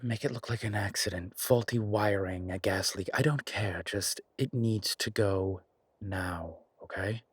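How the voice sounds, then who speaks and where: A man performing a gruff incubus investigator for an audio roleplay. The voice is deep and rough-edged, recorded close and dry. He talks in terse, commanding, everyday phrases, protective of his companion. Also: unhurried, no room sound. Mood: grim, insistent. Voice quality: low, husky. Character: gruff, urgent male incubus demon investigator, protective, wryly friendly. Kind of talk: immersive audio roleplay acting.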